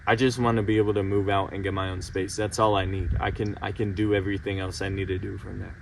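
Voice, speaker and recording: A man's voice: low-pitched, little pitch movement, fast, creaky, distant mic. A late-teen young man pours out his frustration in an insistent, repeating vent. His voice sits a little away from the mic, with faint background noise underneath. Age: late teens. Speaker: vlogger. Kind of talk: emotional personal vent to camera.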